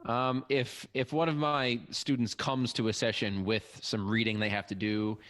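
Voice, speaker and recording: A man's voice: medium pitch, little pitch movement, moderate pace, fairly bright tone. A man speaking conversationally as a co-host in a podcast discussion. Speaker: therapist working with students.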